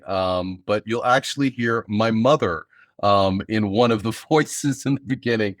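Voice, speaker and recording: A man's voice: on the low side, no room sound. A man hosting a podcast, talking casually as he introduces an episode. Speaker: podcast host and audio drama writer.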